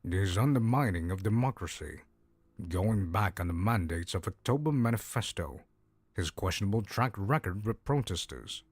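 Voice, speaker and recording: Man as a grave game judge, low voice, slightly husky, formal and measured, faint music behind. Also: animated, no room sound. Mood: stern, judicious. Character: grave, fair-minded male judge of souls. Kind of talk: visual novel voice acting.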